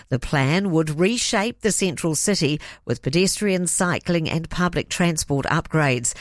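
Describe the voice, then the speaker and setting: A woman's voice: lowish voice, no room sound, studio quality. New Zealand woman reading radio news. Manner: measured, even delivery. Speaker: newsreader.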